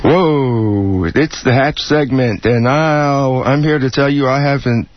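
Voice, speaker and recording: A man's voice: mid-range voice, takes it slowly, no room sound, faint music behind. Male late-night radio host, playful, lo-fi sound. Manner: playful and teasing. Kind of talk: casual radio talk. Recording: dull lo-fi radio broadcast.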